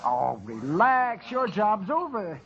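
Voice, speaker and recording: An actor playing a chipper older town doctor in a radio western, folksy and chatty. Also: male voice, high-pitched, sing-song, slow pace, a bit bright, exaggerated voice. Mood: breezy. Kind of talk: old-time radio western acting. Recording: dull old radio recording, busy background.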